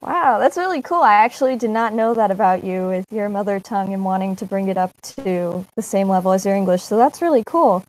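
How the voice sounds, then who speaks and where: A woman's voice: medium pitch, a little fast, few pauses, a little mellow, low-quality recording. A young adult woman hosting a casual interview, asking friendly, upbeat questions. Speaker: host.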